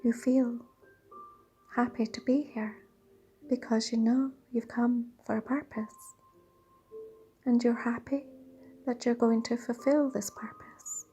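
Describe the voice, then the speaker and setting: A woman leading a guided meditation in a dreamy, soothing, half-whispered voice with drawn-out pauses. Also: on the high side, steady tone. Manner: breathy, half-whispered delivery. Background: soft sound bath music.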